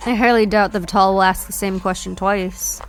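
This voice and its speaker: Woman acting a gravelly, brusque half-human guardian. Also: mid-range voice, fairly even intonation, a little fast, faint music behind, close to an everyday voice, poor audio. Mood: dry, scornful. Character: Terry, blunt half-human park guardian, brusque and weary. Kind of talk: audio drama acting.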